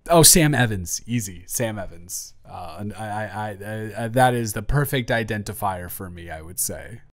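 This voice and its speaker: A man's voice: fairly low pitch, no room sound, clean studio sound. A man playing a flirty, joking office boss in an improvised roleplay audio, stammering and interrupting himself as he teases. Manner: rambling, stammering, self-interrupting; playful, teasing.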